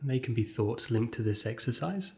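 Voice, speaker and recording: A man leading a guided meditation as a scripted exercise, speaking in measured short phrases with gentle pauses. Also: medium pitch, animated.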